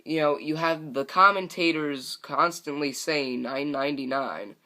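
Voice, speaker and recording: A man's voice: fairly high pitch, a little slow, bright, forceful tone. A young man hosting a solo wrestling podcast, rambling with many fillers and restarts, his voice a little scratchy from talking all day, on a broken microphone. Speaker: podcast host.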